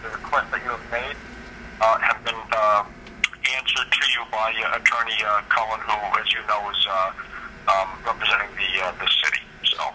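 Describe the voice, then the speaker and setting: A man's voice: fairly low pitch, flat delivery, moderate pace. A man speaking formally as an official on a telephone, guarded and hesitant in his answers. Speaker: police official returning a call. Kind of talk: formal phone conversation. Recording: noisy telephone line, heard at second hand.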